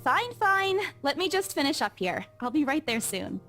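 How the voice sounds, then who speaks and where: An anxious young woman in a horror visual novel. Her British-accented voice is high and very animated, jittery and earnest, with music playing underneath. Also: normal speed, exaggerated voice. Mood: casual, resigned. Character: anxious, superstitious young woman real estate agent.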